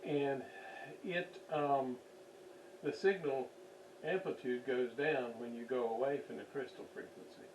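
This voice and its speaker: A man giving an unscripted hands-on demonstration at a workbench, halting as he thinks aloud while he works. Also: medium pitch, darkish tone. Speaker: radio restoration hobbyist, demonstrator. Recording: distant mic with faint background noise.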